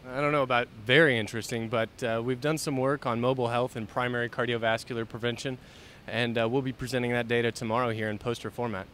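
A man's voice: flat delivery, fast, a bit bright. A man in his thirties from the Dallas area, a researcher giving a casual interview into a handheld microphone. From Dallas area, United States. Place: large convention hall. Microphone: handheld microphone held by interviewer. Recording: faint convention hall rumble.

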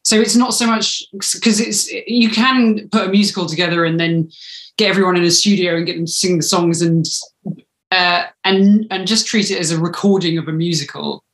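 A woman's voice: fairly low pitch, fairly even intonation, normal speed. A woman, chatting as an interview guest on a video call. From United Kingdom. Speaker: interview guest, audio drama writer. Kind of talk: casual interview chat. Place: living room, indoors. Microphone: video call, computer mic at arm's length. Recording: echoey room.

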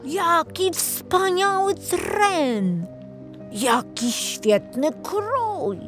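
A woman voicing awestruck townsfolk in a storybook, exclaiming in a drawn-out way. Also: very high-pitched, big pitch swings, a bit bright, faint music behind, voice pushed up, heavily acted. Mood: admiring.

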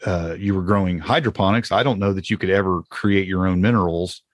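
Low-voiced man chatting casually. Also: brisk, no room sound, clean studio sound. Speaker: podcast host. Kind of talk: casual chat about home gardening.

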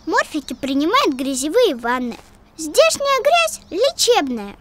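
A woman's voice: extremely high pitch, sing-song, unhurried, noisy background, heavily acted. A woman voicing a young child in an educational cartoon, helpfully explaining and correcting. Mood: helpful, explanatory.

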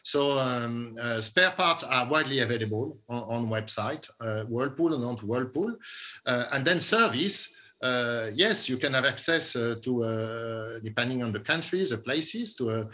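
Executive, fifties, male, bright clear tone, walks through steps on a webcam. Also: medium pitch, animated, a little slow. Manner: explanatory, stepwise, with fillers. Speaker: company executive panelist. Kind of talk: panel discussion answer by video call. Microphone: video call webcam.

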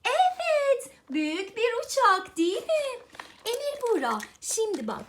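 Very high-pitched affectionate mother teaching. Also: big pitch swings, unhurried. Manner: affectionate, encouraging, singsong repetition with endearments. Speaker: mother teaching her young child. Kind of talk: child-directed teaching, question and answer.

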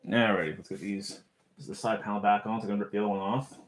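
A man's voice: on the low side, steady tone, racing. Rambling man unboxing a computer. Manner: rambling, self-deprecating, mutters while handling parts. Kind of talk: casual live chat while working. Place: small home office. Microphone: boom mic near desk.

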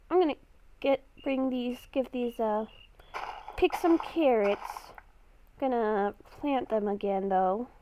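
A woman improvising in-character commentary for a game roleplay, speaking hesitantly and haltingly, with sarcastic asides. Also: high-pitched, dry recording, highs cut off. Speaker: gamer playing a roleplay series.

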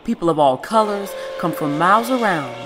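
A woman's voice: fairly low pitch, lively intonation, normal speed, music in the background, lightly acted. An actress playing an elderly, devout mother, tender and worried, speaking solemnly and coaxingly in an audio drama. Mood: admiring, tender.

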